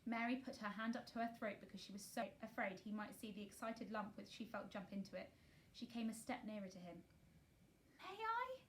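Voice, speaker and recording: A woman narrating a children's novel aloud in an expressive, scripted storytelling style. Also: medium pitch, fairly quick, slight background noise. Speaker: storybook reader.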